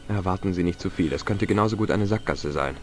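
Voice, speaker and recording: A man playing a young, polite, curious adventurer in a video game, speaking in a relaxed conversational way. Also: low-pitched, quick pace, faint music behind.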